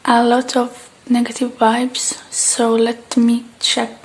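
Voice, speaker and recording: A woman speaking softly and gently in a breathy, partly whispered ASMR roleplay, murmuring to the listener. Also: a little high, completely flat delivery, takes it slowly. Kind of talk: soft-spoken ASMR roleplay as a technician tending a robot.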